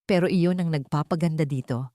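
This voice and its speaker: A woman narrates a dramatic romance story in the first person. Her voice sits low, and the recording is very dry, with no room sound. The delivery is scripted storytelling. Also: brisk, dark tone, dry recording.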